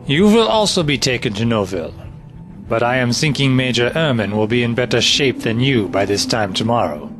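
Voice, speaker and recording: A man's voice: medium pitch, expressive, normal speed, close to an everyday voice. A man playing a cold, clipped German officer. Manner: clipped, commanding, formal phrasing. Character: stern male German officer, cold and suspicious. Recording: audio drama with music underneath.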